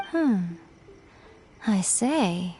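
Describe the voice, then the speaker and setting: A woman playing a sly, unhurried shrine familiar in a video game, speaking in a coy, measured and airily superior way. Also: mid-range voice, mellow. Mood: composed. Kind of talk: scripted video game dialogue.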